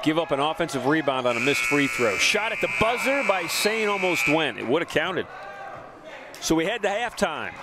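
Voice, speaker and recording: A man giving brisk, informative play-by-play commentary on a college basketball game. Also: on the high side, sing-song, very noisy. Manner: brisk, informative, names players quickly. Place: college gym broadcast booth.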